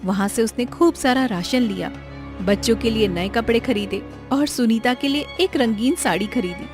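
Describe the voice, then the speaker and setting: High-voiced woman narrating a story. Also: normal speed. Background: background music.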